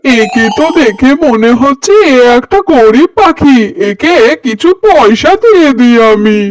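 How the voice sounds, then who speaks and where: A woman's voice: sits high, animated, measured pace, no room sound, recorded from a distance, faint music behind. A woman voicing a cheerful, generous young boy crow in a cartoon, speaking eagerly and coaxingly. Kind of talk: cartoon voice acting.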